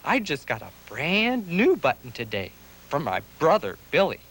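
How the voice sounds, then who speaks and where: An excitable, bubbly puppet from a children's educational TV show. The voice sits high and leaps wildly up and down, exclaiming like someone mad about buttons. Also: male voice, normal speed, full character voice, poor audio. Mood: gleeful, boastful.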